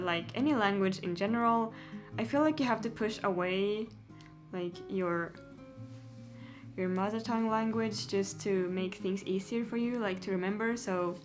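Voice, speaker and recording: Chatty young woman vlogging. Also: on the high side. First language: Swedish. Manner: chatty, giggly, lots of "like" and "yeah". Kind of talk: casual Q&A chat to camera.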